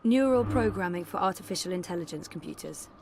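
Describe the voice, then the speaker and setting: A woman's voice: lowish voice, expressive, fast, background noise. A woman playing a young adventurer in a video game, thoughtful and determined, with a sincere, understated, natural delivery. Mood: wry. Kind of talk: video game character acting.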